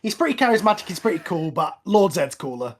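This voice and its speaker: A man's voice: high voice, sing-song, fast talker, crowd noise. A man giving casual, opinionated fan commentary on a film as the host, with trailing asides.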